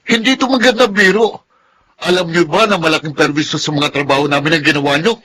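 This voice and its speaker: Earnest adult son, filipino radio drama, sharp highish voice, some background noise. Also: male voice, steady tone, fast. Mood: upset, protesting. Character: earnest grown son, career-minded, protesting to his father.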